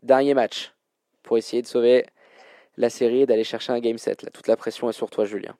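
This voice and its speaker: Guy hosting a basketball quiz, chatty and slangy, soft mellow tone, dry sound. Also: mid-range voice, steady tone, fairly quick, no room sound. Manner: informal, colloquial slang. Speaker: quiz show host. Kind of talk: hosting a basketball trivia quiz, casual banter.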